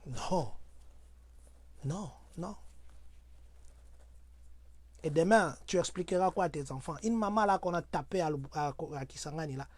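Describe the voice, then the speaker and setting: High-voiced man giving heated advice. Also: measured pace, pauses often, no room sound. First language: Swahili. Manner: animated, preachy, sudden loud exclamations. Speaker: community advisor. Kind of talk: earnest advice talk.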